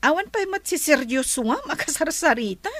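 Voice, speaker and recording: A bossy wealthy madam in a radio drama, giving orders slowly and firmly. Her voice is very high and swings widely in pitch. It sounds dry and close to the mic. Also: expressive, a bit bright, dry recording. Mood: stern. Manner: commanding, slow and deliberate. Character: bossy wealthy woman, stern with staff. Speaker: wealthy lady of the house.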